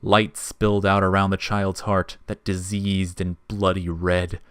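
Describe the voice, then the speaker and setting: Guy narrating an audio story, pretty deep voice, dry sound with no room on it. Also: completely flat delivery, a little slow, smooth, no room sound. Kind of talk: scripted narration, audio fiction.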